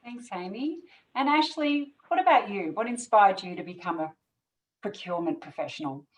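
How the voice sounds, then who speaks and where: A woman's voice: medium pitch, animated, moderate pace, recorded from a distance, poor audio. A woman hosting a webinar interview, thanking each guest briefly and posing the next question. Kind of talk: interview moderating.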